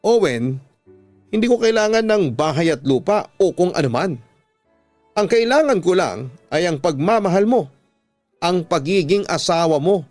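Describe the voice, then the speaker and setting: A man's voice: high voice, lively intonation, normal speed, a little mellow, no room sound, soft background music. A man voicing a hurt wife who speaks frankly and reproachfully to her husband in a radio drama. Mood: pleading.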